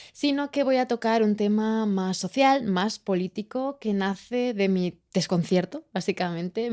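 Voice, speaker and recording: A woman from Chile with a Spanish accent, hosting her own podcast in a reflective, searching monologue with many pauses and restarts. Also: medium pitch, a little mellow. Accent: Spain Spanish. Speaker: podcast host.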